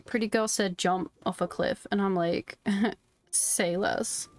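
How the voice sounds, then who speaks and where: A woman game streamer giving casual, gushing commentary as she plays. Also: mid-range voice. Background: game music.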